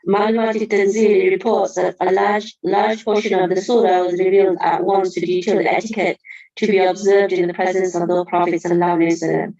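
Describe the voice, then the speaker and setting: A woman's voice: on the low side, darkish tone, highs cut off. A woman giving an earnest Qur'an study lesson, explaining verses carefully with reverent honorifics. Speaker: religious teacher.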